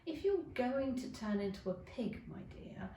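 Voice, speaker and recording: A woman's voice: on the high side, sing-song, unhurried, dark tone. A woman voicing an earnest, anxious, slightly prim little girl in a storybook. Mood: prim, serious. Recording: echoey room, distant microphone.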